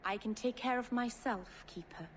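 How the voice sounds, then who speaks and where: An actress playing an earnest young female elf mage, gentle but stubborn, in a fantasy video game. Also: fairly high pitch, moderate pace, a little hiss or hum, natural voice. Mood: quietly determined.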